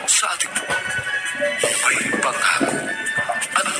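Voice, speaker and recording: A man's voice: slow pace, over loud music. A man with a Filipino accent doing dramatic scripted announcing for a radio drama.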